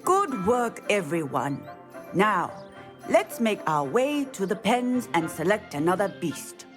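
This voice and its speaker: A woman's voice: medium pitch, sing-song, slow pace, music in the background. An actress playing a stern, careful female professor of magical beasts in a video game, formal and authoritative. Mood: instructive. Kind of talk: video game cutscene acting.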